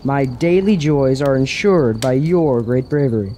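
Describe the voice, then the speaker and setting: An actor playing a teasing, wine-loving young villager with a sardonic manner in a fantasy radio drama. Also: male voice, medium pitch, a little slow, dark tone, slight background noise, lightly acted. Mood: merry, sly. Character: wry, wine-loving young village lad, mocking idler.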